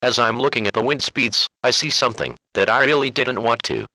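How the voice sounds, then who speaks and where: A man's voice: medium pitch, brisk, sharp tone, no room sound, low-quality recording. A man playing a serious local TV weatherman, delivering a scripted weather report.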